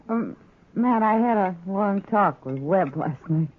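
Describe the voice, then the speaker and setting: A woman's voice: medium pitch, phone-quality sound. A woman playing a worldly, friendly saloon woman with an American accent and a familiar manner in a 1950s radio western. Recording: thin, noisy old radio recording.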